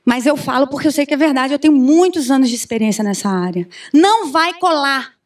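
A Brazilian woman in her thirties giving an animated conference talk on a handheld mic, often asking the audience questions. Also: high-pitched, a little fast. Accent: Brazilian Portuguese. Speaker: conference speaker. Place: large conference room with audience. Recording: distant room sound from far camera.

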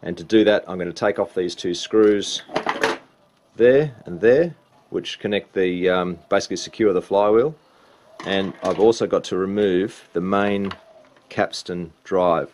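A man's voice: deep voice, a little slow, darkish tone, a little hiss or hum. A man talks through a hands-on repair. His delivery is informal and explanatory, going one step at a time. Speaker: repair hobbyist demonstrating a belt change. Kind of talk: casual hands-on repair walkthrough.

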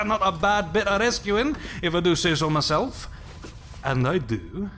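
An actor playing a cocky, wisecracking rebel rogue in an audio drama, flippant and teasing. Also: male voice, high voice, animated, a little slow, creaky, full character voice. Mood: smug.

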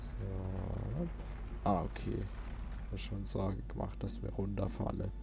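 A man's voice: low-pitched, animated, takes it slowly, gravelly, noisy background. A young man hosting a let's play with casual gameplay commentary. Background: video game sound.

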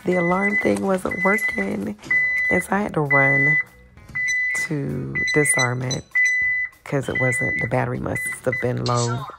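A woman's voice: extremely low pitch, steady tone, unhurried, creaky, over loud music. A woman giving a casual, rambling walkthrough of how she cleans her salon, often losing her train of thought.

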